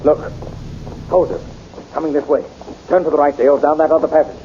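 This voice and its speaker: An actor playing a gallant young space hero in a 1930s radio serial, earnest and heroic. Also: male voice, a little high, a little fast, slight background noise, close to an everyday voice. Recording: dull 1930s radio transcription.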